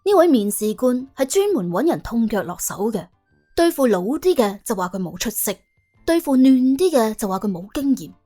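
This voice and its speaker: Cantonese lady reading an audiobook, highish n really animated, wry storytelling, soft music behind. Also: normal speed. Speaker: audiobook narrator. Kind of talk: colloquial, expressive scripted storytelling.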